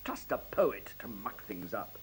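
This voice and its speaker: Courteous, hesitant official, lively intonation, hissy. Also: male voice, on the low side, unhurried. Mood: polite, hesitant. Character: courteous, hesitant male director of a secretive computer plant. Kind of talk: radio play acting.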